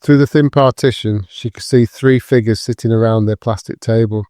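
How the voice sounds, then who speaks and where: A man's voice: medium pitch, steady tone, moderate pace, warm tone, dry recording, clean studio sound. A man reading scripted narration for an audio drama.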